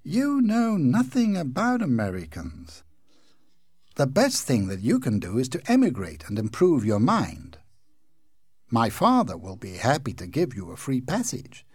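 A man's voice: mid-range voice, huge pitch swings, a little slow, darkish tone, slightly rough, no echo, clean studio sound, heavily acted. A man voicing a prim, indignant young American girl who scolds sharply.

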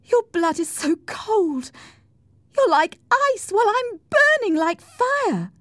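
Woman doing a passionate young wife from an audiobook, high shrill voice, angry and dramatic, swings all over. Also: wildly swooping pitch, measured pace, darkish tone, breathy edge, dry recording, clean studio sound, exaggerated voice. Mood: desperate, overwrought. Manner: shrill, theatrical outbursts. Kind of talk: audiobook character acting.

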